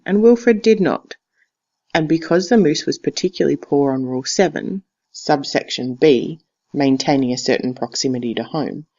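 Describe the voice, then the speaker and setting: A teacher reads a picture book aloud in a deliberate, expressive storytelling style, on a slightly dull recording. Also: medium pitch. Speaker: teacher reading to a class.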